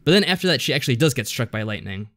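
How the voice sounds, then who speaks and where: A man's voice: medium pitch, big pitch swings, rapid-fire, fairly bright tone, dry recording, studio quality. A man co-hosting a casual podcast, recapping a story in a rambling, unsure way and often correcting himself. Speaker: podcast co-host. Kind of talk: casual chat recapping a visual novel story.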